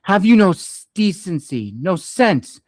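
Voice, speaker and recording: A man playing an indignant male knight who hisses and snaps his lines slowly and forcefully. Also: high-pitched, big pitch swings, no echo, studio quality, voice pushed up. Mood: furious. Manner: hissing, slow, indignant. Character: furious, proud male knight.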